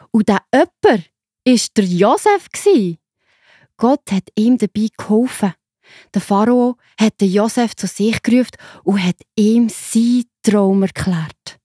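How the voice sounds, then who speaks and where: A woman with a Swiss German accent narrating a story for children in a simple, expressive way. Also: fairly high pitch, dry recording, studio quality.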